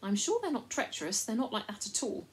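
A woman's voice: on the high side, big pitch swings, fast, voice pushed up. A woman voicing a brave, sensible young girl who talks earnestly and plainly in an audiobook.

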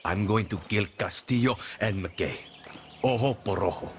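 A man's voice: on the low side, moderate pace, a little hiss or hum, lightly acted. A man playing a blunt, vengeful guerrilla fighter. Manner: blunt, swears casually.

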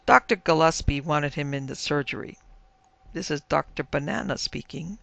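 A man voicing a mischievous skeleton uncle who poses as a spirit doctor in a storybook, rasping and brash. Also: a little high, normal speed, fairly bright tone, no echo. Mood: cheeky. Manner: brash, bawling, cheeky.

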